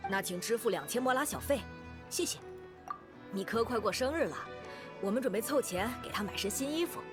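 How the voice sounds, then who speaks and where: Lively, teasing young friend voiced by a woman. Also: on the high side, fairly quick, over music, lightly acted. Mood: teasing, fond. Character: teasing, good-natured young cook and childhood friend. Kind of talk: anime-style game voice acting.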